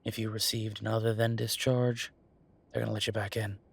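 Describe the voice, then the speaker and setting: A man's voice: fairly low pitch, flat delivery, fairly quick, vocal fry, slightly rough. A man playing a wry, affectionate werewolf bureaucrat in an intimate roleplay audio drama, talking in a casual, teasing, conversational way. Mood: skeptical, uneasy.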